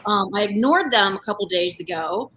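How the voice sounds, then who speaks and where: A woman's voice: lively intonation, normal speed, bright, forceful tone, slight background noise, low-quality recording. A woman speaking as a guest on a panel, talking loosely with many fillers and restarts about her healing work. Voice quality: voice says it has grown deeper. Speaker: panel guest, energy healer. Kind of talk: casual panel chat.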